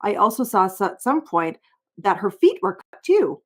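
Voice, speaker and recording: A woman's voice: mid-range voice, very animated, moderate pace. A woman doing a voiceover, reading a formal legal witness statement aloud. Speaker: voiceover reader.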